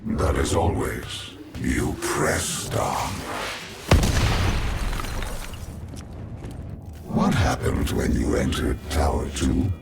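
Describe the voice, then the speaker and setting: A man's voice: extremely low pitch, slow pace, exaggerated voice. A man playing an arrogant, godlike villain in a video game, menacing and grandiose as he interrogates. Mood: taunting. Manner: grandiose, theatrical, commanding. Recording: reverberant voice under loud music.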